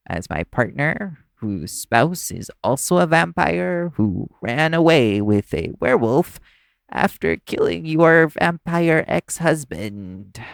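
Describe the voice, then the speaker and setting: A man acting in an audio roleplay as a nervous, caring young vampire's human partner, speaking in a halting, stammering way. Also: mid-range voice, vocal fry, fairly bright tone, dry recording, full character voice.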